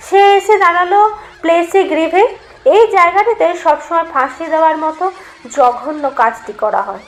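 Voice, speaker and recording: Female storyteller, high register, for scripted horror audiobook narration; dramatic, literary read. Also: poor audio.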